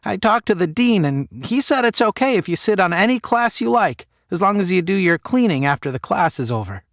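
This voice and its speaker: A man voicing a caring, gently nagging mother of a grown son in an audio short story, coaxing and homely. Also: high voice, sing-song, brisk, no room sound, studio quality, voice pushed up.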